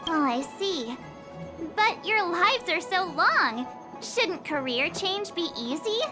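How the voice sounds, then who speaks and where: A woman voicing a cheerful, naive teenage girl adventurer in a video game, with a bubbly, expressive manner. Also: very high-pitched, slow, music in the background, full character voice. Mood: impressed, curious. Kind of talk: video game English dub acting.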